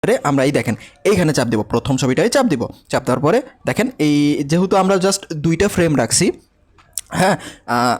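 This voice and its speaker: Bangladeshi man teaching, with lively swooping pitch. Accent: Bangladeshi Bengali. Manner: repeats words and asks check questions. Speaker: animation teacher. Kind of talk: informal step-by-step software tutorial.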